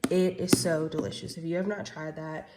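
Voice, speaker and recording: A woman's voice: low-pitched, flat delivery, normal speed, heavy background noise. A young woman vlogging casually, chatty with lots of "um" and "like", sounding congested and nasal from a cold. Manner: chatty, many fillers like "um" and "like". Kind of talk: casual vlog chat.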